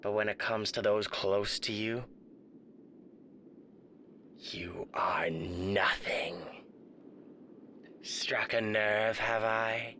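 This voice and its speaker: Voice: medium pitch, steady tone, drawn-out words, pauses often, bright, forceful tone, slight background noise. An actor playing a smug, sadistic male radio demon in an ASMR roleplay, theatrical, mock-polite and taunting.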